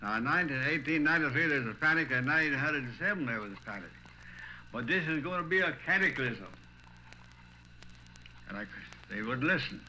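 Bright-voiced older Southern man reminiscing, noisy recording. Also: a little high, lively intonation, a little fast, pauses often. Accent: Southern US, Tennessee. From Tennessee, United States. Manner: folksy, acts out remembered conversations. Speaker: retired small-town banker telling his memories. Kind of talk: oral history storytelling.

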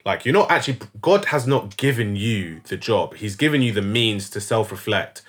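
A man's voice: fairly low pitch, expressive, moderate pace, a bit bright. A young man in a casual podcast chat, explaining his views at length and swearing casually. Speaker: podcast co-host.

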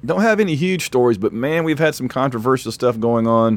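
A man hosting a casual sports podcast while losing his voice, so every line comes out scratchy. He rambles in a self-deprecating way, at an ordinary pitch for a man. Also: expressive, fairly quick, dry recording. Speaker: podcast host.

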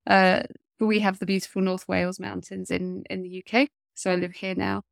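A woman's voice: mid-range voice, little pitch movement, fairly quick, gravelly, dry recording, clean studio sound. A woman in her forties, a coach speaking as an interview guest, talking earnestly with a blocked-up nose and many fillers. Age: 40s. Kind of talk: casual interview chat.

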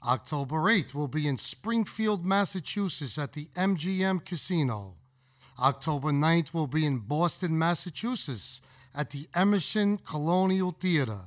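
A man in his sixties reading out a schedule, a bit halting. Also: a little high, animated. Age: 60s. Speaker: studio guest.

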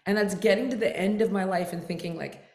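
A woman from the United States, speaking at a mid-range pitch as a guest on a casual interview. She talks candidly and with animation, sometimes slipping into a whisper for quoted asides. The room gives her voice a noticeable echo. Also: steady tone, fast talker. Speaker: guest, fitness expert.

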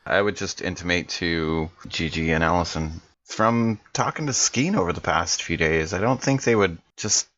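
A man's voice: low voice, normal speed, few pauses, a bit bright, dry recording. A man talking casually and improvising in character as a tabletop game player, on a dull-sounding microphone.

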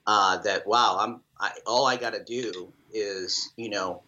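Salvadoran-born guy in his 50s rambling thru an interview, low bright voice, lots of ums, kinda dull lo-fi sound. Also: fairly even intonation, measured pace, slight background noise. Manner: rambling, many fillers and restarts. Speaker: drummer, interview guest. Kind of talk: casual interview answers.

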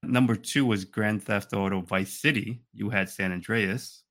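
Male podcast co-host, lowish voice with a crisp forward edge, relaxed conversational banter. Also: unhurried. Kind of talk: casual banter.

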